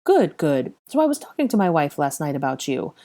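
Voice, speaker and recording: A woman's voice: low-pitched, big pitch swings, warm tone. A woman voicing a cheerful, fawning male ministry worker who gushes and rambles. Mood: gushing. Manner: slow, gushing, rambling.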